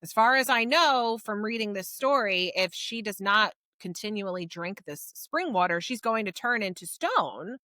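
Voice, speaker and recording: A woman's voice: medium pitch, animated, normal speed, hardly any pauses, sharp tone, no echo, clean studio sound. A woman co-hosting a book podcast, explaining things in a casual, conversational way and animatedly paraphrasing characters. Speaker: podcast co-host reviewing books.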